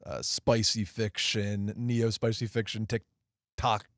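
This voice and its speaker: A man with a lowish voice co-hosting a movie podcast. He chats casually, mixing short agreeing replies with steady explaining. The sound is dry and close. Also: takes it slowly, no room sound. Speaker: podcast co-host.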